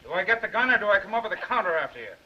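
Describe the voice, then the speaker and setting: An actor playing a tough-talking, insistent newspaper reporter in a 1930s crime film, on a muffled old soundtrack. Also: male voice, high-pitched, sing-song, racing, slight background noise. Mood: tough, impatient.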